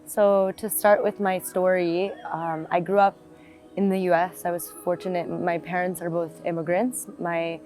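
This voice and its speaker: A woman's voice: lowish voice, flat delivery, normal speed, darkish tone. Reflective young woman telling her story. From South Florida, United States. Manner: reflective, with frequent fillers and restarts. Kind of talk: personal storytelling interview. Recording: music playing behind the voice.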